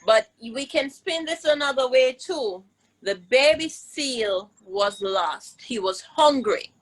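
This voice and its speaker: A woman's voice: high-pitched, animated, takes it slowly, low-quality recording. A woman with a Jamaican accent hosting a children's lesson, speaking in an encouraging, emphatic way and prompting her listeners. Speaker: host of children's virtual storytime, teacher. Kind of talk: live interactive lesson for children.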